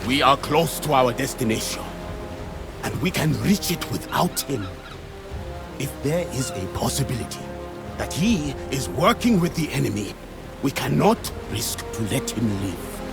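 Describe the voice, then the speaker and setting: A man's voice: medium pitch, big pitch swings, unhurried, vocal fry, music in the background. A man playing a harsh, suspicious, ruthless gladiator in a video game, with a severe, declamatory way of speaking. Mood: cold, stern. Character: ruthless, suspicious Roman gladiator bodyguard, quick to anger. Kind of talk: scripted video game acting.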